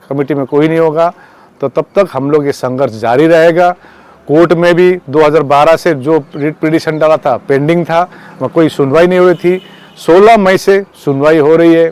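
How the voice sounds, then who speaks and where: A man speaking as a protest organiser, giving a campaign statement in a halting, repetitive way and mixing in English words. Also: a little high, darkish tone. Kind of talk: spoken public statement on a campaign.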